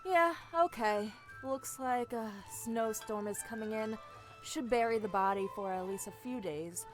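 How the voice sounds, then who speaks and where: A woman's voice: on the high side, unhurried, faint music behind, natural voice. A woman playing a sassy, eager young female assassin in a fantasy audio drama, chatty and snarky. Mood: upbeat, confiding. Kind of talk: amateur fantasy audio roleplay acting.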